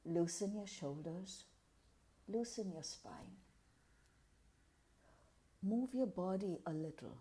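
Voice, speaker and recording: A woman leading a guided meditation in a calm, measured, deliberate way, pausing between short phrases. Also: lowish voice.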